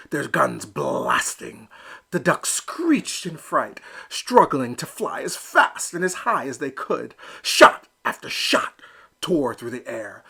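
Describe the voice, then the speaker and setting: A man reading a children's picture book aloud with slow, expressive storytelling. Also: mid-range voice, gravelly, breathy edge. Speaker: storybook reader. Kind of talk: children's read-aloud narration.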